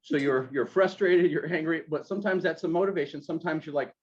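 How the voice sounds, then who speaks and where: A man hosting an interview, asking questions in a halting way with many restarts. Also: fairly high pitch. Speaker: podcast host. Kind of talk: casual interview. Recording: dull lo-fi sound.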